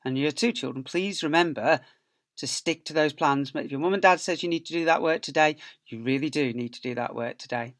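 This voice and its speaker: A man's voice: fairly high pitch, fairly quick, fairly bright tone. A man, an infant school teacher, reading a story expressively to young children.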